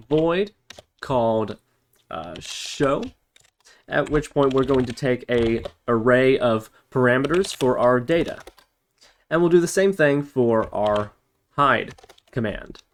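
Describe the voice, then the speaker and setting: Man narrating an informal coding tutorial, mid-pitched. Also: slow, a little hiss or hum. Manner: explanatory, thinking aloud while working. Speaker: programming tutorial instructor.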